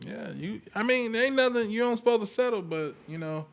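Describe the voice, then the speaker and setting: A man's voice: high voice, lively intonation, brisk, vocal fry, a bit bright. An American man arguing his points casually on a podcast, blunt and slangy. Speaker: podcast panelist. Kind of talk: casual debate.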